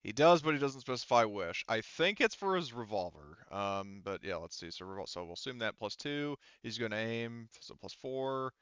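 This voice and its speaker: Guy game mastering a tabletop rpg, bright forward voice, casual and swears, kinda lo-fi dry recording. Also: mid-range voice, lively intonation, normal speed, hardly any pauses, clear, resonant tone, no echo. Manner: informal, profane, thinking aloud while working out rules.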